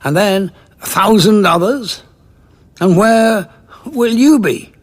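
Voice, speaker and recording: An actor playing a weary, bitter old alien war veteran in an audio drama, sardonic and indignant, with a gravelly, husky voice. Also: male voice, high-pitched, lively intonation, slow pace, heavily acted. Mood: scornful.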